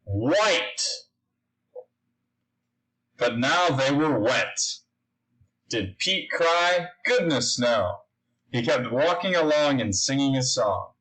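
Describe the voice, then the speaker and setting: A man's voice: mid-range voice, expressive, slow, pauses often, fairly bright tone, rough recording. A man reading a children's picture book aloud, speaking simply and putting questions to his young listeners. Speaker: story reader.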